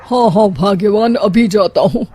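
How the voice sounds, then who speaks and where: A man's voice: high voice, moderate pace, a little mellow. A man voicing a timid, scheming middle-aged father-in-law with exaggerated drama in a cartoon story. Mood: bossy, anxious. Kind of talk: Hindi cartoon moral-story acting.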